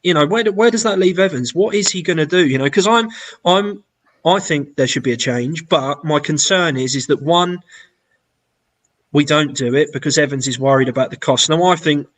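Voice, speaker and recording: Male fan co-host, raised pitch, halting and sweary delivery. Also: fairly bright tone. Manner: sweary, halting, many restarts. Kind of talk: casual football chat.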